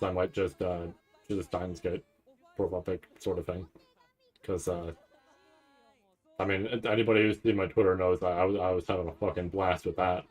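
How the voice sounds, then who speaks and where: A man doing casual livestream reaction commentary, rambling and stammering over repeated words with a nervous, excitable manner. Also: deep voice. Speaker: streamer reacting to a live anime event.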